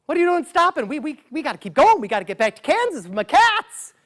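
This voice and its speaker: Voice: very animated, fast, a bit bright. A storyteller doing a frantic, pushy frontier trader. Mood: urgent. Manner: high, urgent, insistent. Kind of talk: live storytelling.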